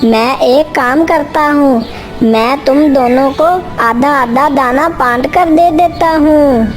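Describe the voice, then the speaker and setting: A woman plays a sly, greedy male bird in a children's cartoon. The voice is high and the words come slowly. Faint music sits underneath. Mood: slyly helpful. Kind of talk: Hindi children's cartoon story acting.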